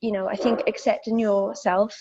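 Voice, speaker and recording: A woman's voice: mid-range voice, little pitch movement, moderate pace, dark tone, a little hiss or hum. Woman, Welsh accent, young adult, fitness instructor, hesitant fillers, muffled lo-fi sound. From South Wales. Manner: thoughtful, many fillers and restarts. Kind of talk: interview answers.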